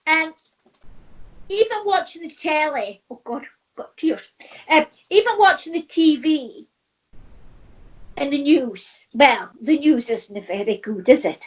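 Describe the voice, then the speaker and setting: A middle-aged woman chats easily, with a high voice that swoops up and down. She laughs often and circles back over her own words. Her talk is loose and friendly. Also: lively intonation, slow pace, low-quality recording. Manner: chatty, laughs often, repeats herself. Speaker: co-host. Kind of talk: casual chat.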